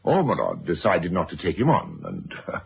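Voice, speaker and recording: Blustering, deep-voiced gentleman. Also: wildly swooping pitch, moderate pace, vocal fry, full character voice. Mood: defensive. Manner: pompous, blustering. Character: blustering, indignant middle-aged father of privilege, cornered. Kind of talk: radio drama acting. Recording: dull, hissy old radio recording.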